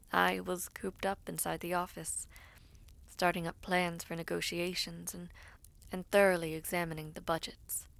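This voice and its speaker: A woman playing a formal, composed villainess devoted to her prince, speaking in slow, breathy, measured phrases in a fantasy audio roleplay. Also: lowish voice, a bit bright. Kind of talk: fantasy audio roleplay with first-person narration.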